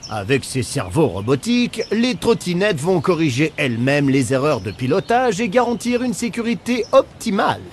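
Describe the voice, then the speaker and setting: A man voicing an excitable, boastful inventor in a cartoon, with an enthusiastic salesman's delivery. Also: fairly high pitch, normal speed, few pauses, slight background noise. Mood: excited, proud. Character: excitable, boastful male inventor of robotic scooters. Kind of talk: cartoon voice acting.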